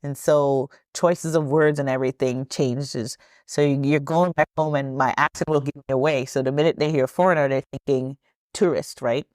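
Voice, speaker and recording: A woman's voice: rumbling low, steady tone, moderate pace, a little mellow, dry recording, studio quality. A woman in her thirties from Trinidad, a podcast guest who tells stories in a chatty way and laughs often. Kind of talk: podcast interview storytelling.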